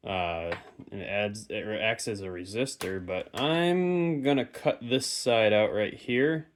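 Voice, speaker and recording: Low-voiced man casually narrating a repair. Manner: offhand, thinking aloud. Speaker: hobbyist radio repairer and presenter. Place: stone-lined basement.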